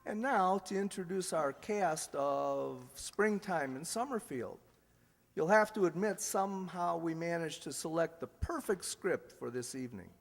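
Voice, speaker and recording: A man's voice: fairly high pitch, lively intonation, a little slow, vocal fry. A man announcing a live old-time radio style show as its host, in a jokey, ad-libbing manner. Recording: echoey hall with background hiss.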